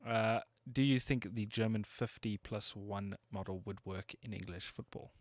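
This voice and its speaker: Relaxed low-voiced co-host. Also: male voice, normal speed, no room sound, clean studio sound. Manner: laid-back, easily amused. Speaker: co-host and producer. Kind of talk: casual radio chat.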